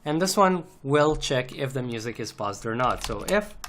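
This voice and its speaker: A man's voice: mid-range voice, lively intonation, moderate pace, a bit bright. A man giving an unscripted screencast programming tutorial, explaining methodically step by step as he types. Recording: noisy, keyboard clicks and typing. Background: computer keyboard typing and clicks.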